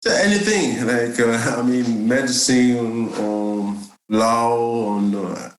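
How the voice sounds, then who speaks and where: A man's voice: medium pitch, slow, a little hiss or hum. A man from Cameroon, a guest on a podcast, telling his story in a hesitant way with lots of fillers and laughter. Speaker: guest, jazz bass player. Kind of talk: casual interview chat. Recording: echoey room.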